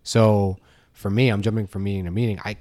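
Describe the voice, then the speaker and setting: Low-voiced, matter-of-fact podcast man. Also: little pitch movement, fairly quick, warm tone, no echo. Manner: matter-of-fact, thinks aloud. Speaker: podcast co-host. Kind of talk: casual chat.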